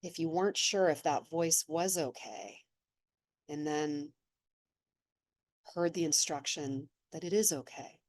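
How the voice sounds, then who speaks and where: A woman slowly and gently leading a guided meditation, speaking in a soothing way. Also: low voice, no room sound, clean studio sound. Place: seated in an office chair.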